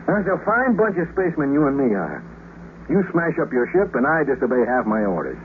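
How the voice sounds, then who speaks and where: Through a tinny, slightly noisy 1950s radio recording comes an actor as a brash American astronaut. He is a good-hearted man who talks in wry slang with an American accent and a lively, swinging pitch. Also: fairly quick, lightly acted. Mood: insistent. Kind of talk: 1950s radio drama acting.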